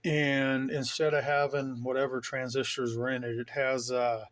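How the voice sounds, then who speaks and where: A middle-aged man with a medium-pitched voice talks through his gear as a hobbyist. His delivery is informal and rambling, an enthusiast's casual show-and-tell for a vlog. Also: flat delivery, normal speed, a bit bright. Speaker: hobbyist vlogger showing his radio gear.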